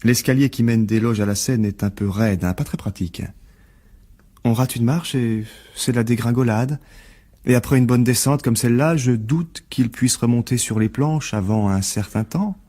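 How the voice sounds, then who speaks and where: An actor playing a smug, scheming blackmailer of a journalist in a radio mystery drama, insinuating and falsely polite. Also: male voice, medium pitch, normal speed, mellow, natural voice. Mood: insinuating, menacing.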